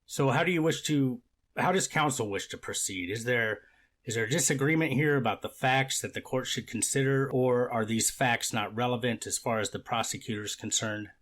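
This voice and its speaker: A man playing a deliberate, fact-minded judge in a courtroom readers theater, speaking in a formal, measured and slightly halting way. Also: mid-range voice, a bit bright, natural voice. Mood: measured, questioning. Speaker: judge reading and questioning counsel.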